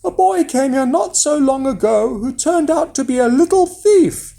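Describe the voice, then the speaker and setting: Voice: high voice, expressive, moderate pace, vocal fry, warm tone, voice pushed up. A storyteller voicing a giant's wife, placating and wary, in a children's fairy tale.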